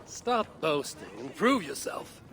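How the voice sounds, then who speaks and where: A man's voice: a little high, wildly swooping pitch, takes it slowly, fairly bright tone, exaggerated voice. A man playing a proud, hot-headed warrior in a video game, with terse, dry banter. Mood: dry, challenging. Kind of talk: video game cutscene acting.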